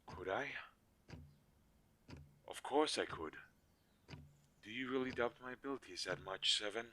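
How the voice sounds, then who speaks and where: A man acts out a weary inventor-mage in an audio roleplay. His voice sits low, with a bright, forceful edge. He speaks earnestly and protectively, pausing haltingly, over faint background noise on a low-quality recording. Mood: frustrated, defensive. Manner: hesitant, earnest, halting pauses. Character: weary, protective male inventor-mage, creator of living dolls. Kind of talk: scripted audio roleplay drama.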